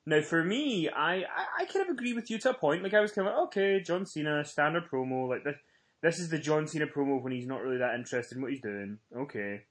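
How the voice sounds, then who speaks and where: A man's voice: a little high, animated, quick pace. A man co-hosting a casual review show, talking in an emphatic, effusive, informal way as a fan. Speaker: podcast co-host reviewing wrestling. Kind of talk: casual chat, fan commentary.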